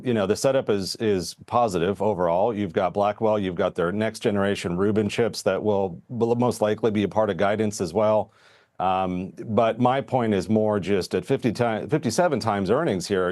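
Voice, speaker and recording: A man giving market views as a guest in a financial TV interview, measured and conversational. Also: fairly low pitch, darkish tone, no echo, studio quality. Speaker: investment strategist guest.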